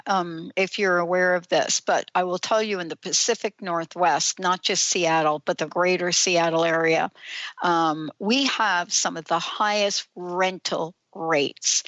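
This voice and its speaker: A woman's voice: lowish voice, fairly bright tone, no echo, lo-fi sound. A woman talk radio host with a New York accent, chatting and asking questions in a rambling way full of fillers. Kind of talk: radio talk show interview.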